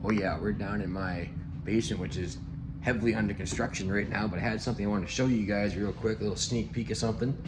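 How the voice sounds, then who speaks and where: A hoarse man giving a relaxed, rambling walkthrough of his half-renovated basement, chuckling as he chats to his viewers. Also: fairly low pitch, fast, roomy sound, background noise. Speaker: vlogger. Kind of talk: casual walkthrough chat. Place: home basement under renovation.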